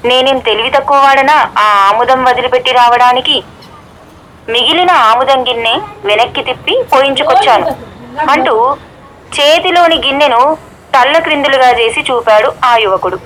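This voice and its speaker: Woman, high voice, scripted story narration, radio broadcast played through small speaker, faint noise. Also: normal speed, fairly bright tone, low-quality recording.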